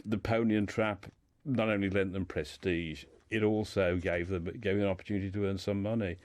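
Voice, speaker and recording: A man's voice: low-pitched, fairly even intonation, fairly quick, a little mellow. A man speaking as a guest in a radio discussion, telling a life story informally and often repeating words as he builds his sentences.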